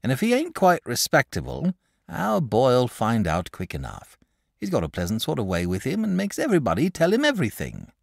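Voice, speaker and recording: A man's voice: mid-range voice, huge pitch swings, a little fast, vocal fry, no room sound, clean studio sound, voice pushed up, full character voice. A man voicing a sensible, kindly country mother with homely rustic speech.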